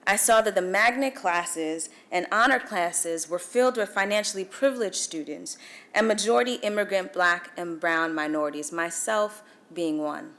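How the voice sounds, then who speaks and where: A young woman from Guyana with a Guyanese accent, delivering a formal speech at a celebration breakfast in measured, emphatic oratory with dramatic pauses. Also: fairly low pitch, fairly bright tone. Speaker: featured speaker. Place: event hall.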